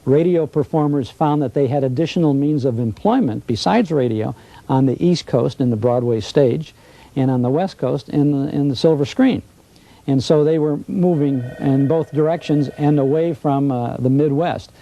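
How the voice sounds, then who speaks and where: An older man giving informal historical commentary in an interview, explaining in measured phrases. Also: mid-range voice, vocal fry, mellow, no echo, rough recording.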